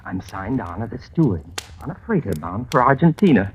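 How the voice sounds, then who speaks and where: A man's voice: mid-range voice, big pitch swings, fairly quick, natural voice. A prim, courteous killer of a steward played by a man speaks in a middling voice. His phrasing is fussy and genteel, in the manner of 1940s radio drama. The recording is old and lightly noisy. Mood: politely menacing. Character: prim, fussy, soft-spoken male steward, secretly a polite murderer.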